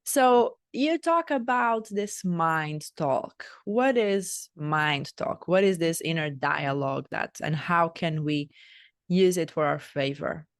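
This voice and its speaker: A woman's voice: deep voice, expressive, slow pace, no room sound, clean studio sound. A woman from Portugal with a Portuguese accent, a native Portuguese speaker, hosting a podcast interview in a reflective, conversational style. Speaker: podcast host.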